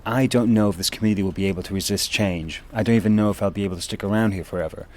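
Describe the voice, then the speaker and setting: A man with a very deep voice narrates a documentary in an earnest, upbeat tone. Faint ambient noise sits behind him. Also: very fast. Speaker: presenter and street event organizer.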